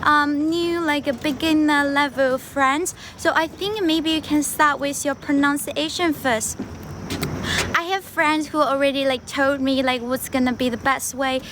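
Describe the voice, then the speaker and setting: High-voiced young Chinese woman. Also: fairly even intonation, unhurried, background noise. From China. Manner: chatty, switches between English and Mandarin, frequent fillers. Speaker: livestream host. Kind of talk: casual livestream chat while walking outdoors. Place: outdoors on a path in a wetland park.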